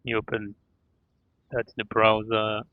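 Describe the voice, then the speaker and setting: A man streaming a game, chatting casually and haltingly, repeating words as he explains things. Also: lowish voice, completely flat delivery, dry recording. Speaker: live streamer. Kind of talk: casual livestream chat while gaming. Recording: dull lo-fi mic, faint music behind. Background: faint game music.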